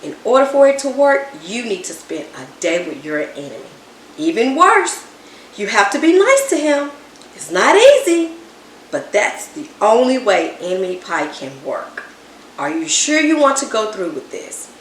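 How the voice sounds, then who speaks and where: A woman voicing a calm, secretive, knowing father in a children's story, speaking in a measured, confiding way. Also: a little high. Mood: coaxing, earnest. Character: calm, secretive, knowing dad of a young boy. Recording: roomy echo, distant mic, background noise.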